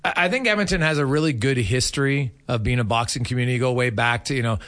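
A man's voice: medium pitch, fast talker, a bit bright. A man, chatting casually and in a rambling, opinionated way as a sports interviewer. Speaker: sports radio host and interviewer.

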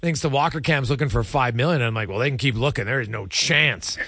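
A man's voice: medium pitch, fast, pushed and bright, slightly rough, no room sound. A male sports radio host giving emphatic, opinionated hockey commentary in short, punchy sentences.

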